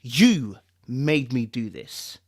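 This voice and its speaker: A man's voice: medium pitch, sing-song, very slow, exaggerated voice. A man voicing a bitter, abused wife who is seething with vengeance, in an emphatic, accusing dramatic delivery. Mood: vengeful fury.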